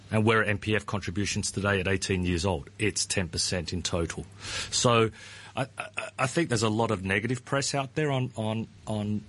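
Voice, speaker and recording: Husky man, hedging. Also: fairly low pitch, fairly bright tone. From Australia. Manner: hedging, often starts with "look", repeats words. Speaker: pension ratings firm founder. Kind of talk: radio interview guest.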